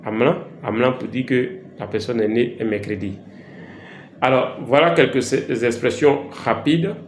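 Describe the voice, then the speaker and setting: A man with an Ivorian French accent teaching a casual language lesson, slow and repetitive with frequent hesitations. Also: medium pitch. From Côte d'Ivoire. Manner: slow, repeats words for learners, frequent hesitations. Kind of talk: informal language lesson vlog. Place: travelling, recorded in an echoey room. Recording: echoey room, faint background hiss.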